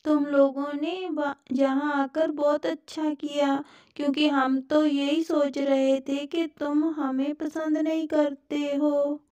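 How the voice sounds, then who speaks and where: A woman voicing a gentle, easily hurt rain cloud in a children's cartoon, with a plaintive, simple manner. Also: high-pitched, flat delivery, takes it slowly. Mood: relieved.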